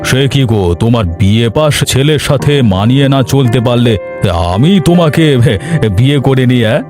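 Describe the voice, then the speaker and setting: A man's voice: medium pitch, normal speed, close to an everyday voice. A man playing a scheming, lecherous village headman in a cartoon, wheedling and menacing. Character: scheming, lecherous widowed village headman, a bullying land-grabber. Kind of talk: Bengali cartoon voice acting. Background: music.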